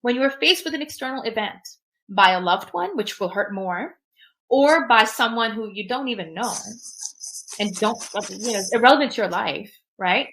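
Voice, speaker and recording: Bright-voiced woman, Urdu her first language. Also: on the high side, expressive, moderate pace, rough recording. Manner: emphatic, repeats "right?" to check in. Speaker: podcast guest, technologist. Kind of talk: reflective conversational advice.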